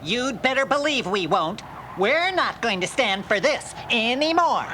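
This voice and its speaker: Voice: medium pitch, very animated, measured pace, very noisy. An actor playing an angry, complaining ski lodge guest in a children's read-along story. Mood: angry, indignant. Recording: crowd behind on old storybook cassette.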